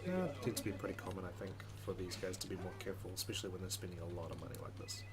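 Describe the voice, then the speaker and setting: A man's voice: sits low, lively intonation, rapid-fire, loud music behind the voice. A man reading a visual novel aloud as a let's play host, slipping in his own asides.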